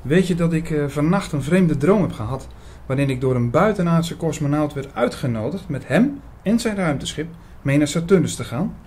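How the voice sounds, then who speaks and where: An actor playing an earnest, gentle young priest who also narrates his story in an audio drama. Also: a little high, lively intonation, moderate pace, husky. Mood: light, puzzled.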